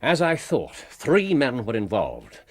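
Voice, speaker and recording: An actor playing a brilliant, brisk, self-assured English private detective in a radio drama, speaking incisively and precisely with authority. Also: male voice, mid-range voice, huge pitch swings, full character voice. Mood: methodical. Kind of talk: BBC radio drama acting.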